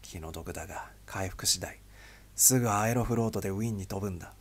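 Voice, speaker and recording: Male reader as stern intelligence chief, low-pitched, husky, weary, somber, terse, audiobook thriller. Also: fast talker. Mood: firm, resolved. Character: grim, weary male spy boss, stern and commanding.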